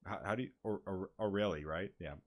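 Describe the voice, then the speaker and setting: Deep-voiced man reading game text aloud. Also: a little fast. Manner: reads dialogue aloud, breaks off to react and swear. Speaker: gaming streamer.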